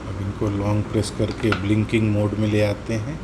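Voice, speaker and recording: A man's voice: lowish voice, completely flat delivery, brisk, background noise. A man with an Indian accent explaining a hands-on product demonstration step by step, repeating his points. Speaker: host of a motorcycle gear tutorial.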